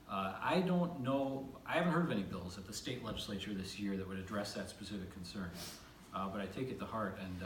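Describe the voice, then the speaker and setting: A man serving as a state legislator, answering a constituent at a town hall in an agreeable, conversational way with many fillers. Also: low-pitched. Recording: distant mic in an echoey room with noise.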